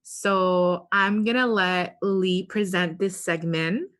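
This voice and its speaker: A woman's voice: on the low side, fairly even intonation, takes it slowly, a bit bright. A woman co-hosting a podcast, chatting in an easygoing, conversational way. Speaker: podcast co-host. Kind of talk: casual conversational podcast chat.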